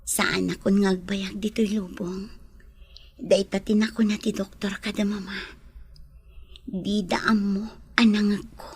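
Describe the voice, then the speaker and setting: An actress playing a gentle, sickly young lady in love, breathy, in a Filipino radio drama. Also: medium pitch, little pitch movement, measured pace, long pauses, soft background music, natural voice. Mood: wistful.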